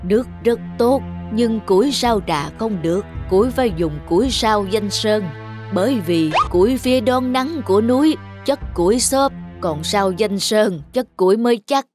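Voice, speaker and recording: A woman voicing a poor, humble old man who is a tea connoisseur in a cartoon folktale, speaking in a slow, courteous, old-fashioned way. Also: mid-range voice, animated, a little mellow, faint music behind, close to an everyday voice. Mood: humble polite. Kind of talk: dubbed cartoon folktale acting.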